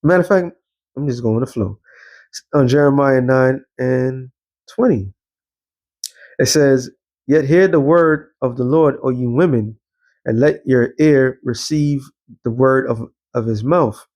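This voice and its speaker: A man's voice: medium pitch, a little slow, darkish tone. A man, giving blunt, profane commentary in a street-preacher cadence and reading out scripture.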